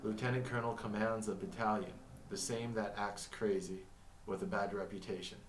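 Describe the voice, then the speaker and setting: A man with a low, even voice and little lift in his pitch talks through a reflective monologue. He halts and restarts as he reads from notes, and his first language is Arabic. He sits back from the mic in a roomy, echoing space with a faint hum behind him. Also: recorded from a distance. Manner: halting, thinking aloud with restarts. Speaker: vlogger narrating his own story. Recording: echoey room, mic set back.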